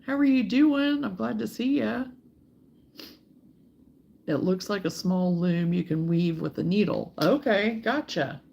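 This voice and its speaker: Froggy hoarse lady crocheting at home, chatty, mumbles to herself, a bit of gravel in there. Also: medium pitch, measured pace, highs cut off. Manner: relaxed and chatty, mutters to herself while working. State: hoarse, froggy voice from a recent cold. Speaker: host of a crochet live stream. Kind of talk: casual chat while crocheting, answering viewers.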